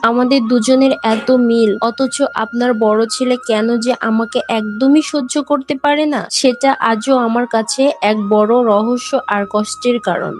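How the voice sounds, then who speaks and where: A fairly high voice of a young village wife, acted by a woman in a cartoon. She is eager and flattering, bossy and cunning underneath, always ingratiating. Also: moderate pace, hardly any pauses, close to an everyday voice. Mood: aggrieved. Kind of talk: Bengali cartoon voice acting.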